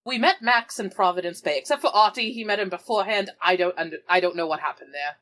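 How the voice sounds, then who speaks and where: A woman's voice: medium pitch, fast talker, a bit bright. A woman casually improvising narration and dialogue as a player in a tabletop roleplaying game, informal and conversational.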